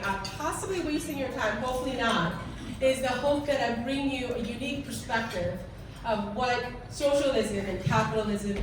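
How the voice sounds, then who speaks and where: Korean-accented woman in her forties, guest speaker, earnest and halting, fairly high voice, distant mic in an echoey room with steady noise. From South Korea. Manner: earnest, halting speech with restarts. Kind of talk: speech to a club audience. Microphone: handheld mic. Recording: echoey room, recorded from far off.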